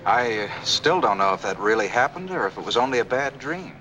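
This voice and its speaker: A man's voice: mid-range voice, expressive, a little fast, sharp tone, highs cut off, soft background music. An actor playing an earnest, insistent man in love, in a 1940s film drama with a hissy old soundtrack. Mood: steady. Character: earnest, lovestruck man defending his missing fiancée.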